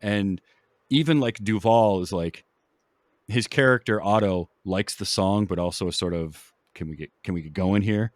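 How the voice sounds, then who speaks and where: A man with a low voice hosts a casual film podcast. He rambles along with enthusiasm, and the sound is close and dry. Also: moderate pace, no room sound. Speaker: podcast host.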